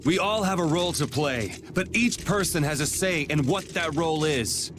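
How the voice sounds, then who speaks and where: Actor playing a young game hero, blunt, sardonic, high for a man, very animated. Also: moderate pace, noisy background, natural voice. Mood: resolute. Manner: blunt, sarcastic. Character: brash, sardonic young swordsman hero with firm convictions. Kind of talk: video game voice acting.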